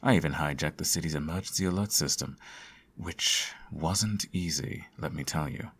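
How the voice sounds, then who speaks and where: A man playing a sardonic, theatrical supervillain who fusses over his nemesis in an ASMR audio roleplay, his voice slightly husky. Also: rumbling low, normal speed, a bit bright, heavily acted. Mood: smug, teasing. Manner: theatrical, teasing, sarcastic.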